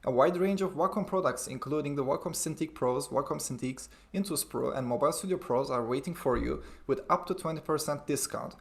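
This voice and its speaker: A man's voice: a little high, brisk, gravelly, hardly any pauses. A man hosting an online event over a video call, reading out promotional announcements and introducing a guest. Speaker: webinar host.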